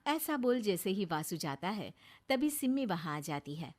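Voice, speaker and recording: A woman narrates a scripted bedtime story. Her pitch sits in the middle for a female voice. She tells it as a storyteller setting out a moral tale. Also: very animated, normal speed, a little mellow.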